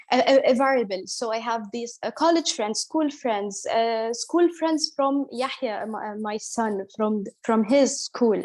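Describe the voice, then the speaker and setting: Woman in her 30s, kinda high voice, talks slow and candid, hesitant. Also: darkish tone. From Egypt. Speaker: guest. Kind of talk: casual interview.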